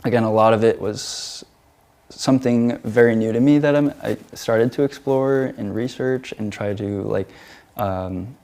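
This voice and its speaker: A man's voice: medium pitch, unhurried, a little mellow. A young man from the United States, a featured artist answering interview questions in a hesitant way, with many fillers and restarts.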